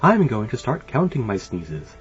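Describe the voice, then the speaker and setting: Guy doing a unlucky superstitious storybook lady, higher pitched n swoopy, kinda lo-fi, music behind. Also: moderate pace. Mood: determined. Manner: expressive storybook character voice. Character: superstitious, hapless woman plagued by sneezes. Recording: dull lo-fi sound.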